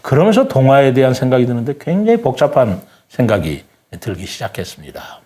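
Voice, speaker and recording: A man's voice: medium pitch, big pitch swings, a little fast, warm tone. A man speaking as a guest writer in a reflective talk, explaining things earnestly in polite, formal speech and telling stories. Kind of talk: reflective talk-show conversation with storytelling.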